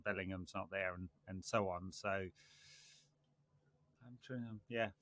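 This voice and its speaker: A man's voice: deep voice, fairly even intonation, a little slow, a bit bright. A man hosting a casual football podcast, chatting with dry, sardonic banter. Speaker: podcast host.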